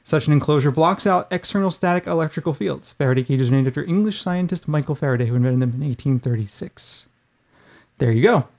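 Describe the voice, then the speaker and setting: A middle-aged man with a high-pitched voice, chatting casually as a podcast host in an irreverent, rambling, casually profane way. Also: animated, fast talker, darkish tone. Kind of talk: casual chat.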